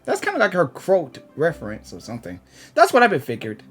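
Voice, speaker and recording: A woman giving excited, casual commentary as a game reaction host, in a halting, repetitive way. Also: on the low side, husky, soft background music.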